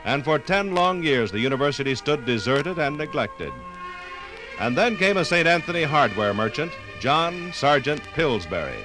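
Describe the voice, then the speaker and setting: An American man narrates a 1950s radio documentary in a formal, theatrical style with measured phrasing. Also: fairly high pitch, very animated, over music, rough recording. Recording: vintage 1950s radio recording.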